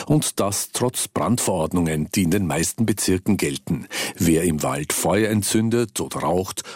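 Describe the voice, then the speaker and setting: A man reading a news report in a steady, formal manner. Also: sits low, breathy edge, no echo, studio quality.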